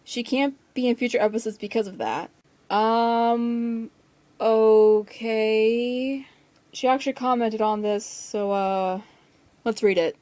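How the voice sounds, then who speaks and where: A woman's voice: a little high, slow, dark tone, no room sound. Woman ranting casually in a dry recording. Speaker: commentary video maker. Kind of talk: casual rant commentary.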